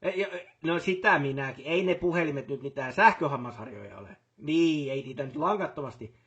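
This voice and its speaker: A man's voice: fairly high pitch, lively intonation, fairly bright tone, slightly rough, lo-fi sound. A man playing a busy, businesslike factory boss in a video game, talking colloquially and repeating short affirmations. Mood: chatty, casual. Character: busy, brisk male factory boss on the job. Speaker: voice actor for a game character. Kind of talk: game voice lines, phone calls and workplace speeches.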